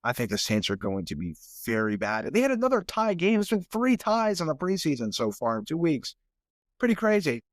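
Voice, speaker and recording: A man's voice: a little high, huge pitch swings, brisk, no echo, studio quality. Stuffy-nosed man hosting a sports podcast. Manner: casual, rambling, repeats words when restarting. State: stuffy from sinuses. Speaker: podcast host. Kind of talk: solo sports podcast talk.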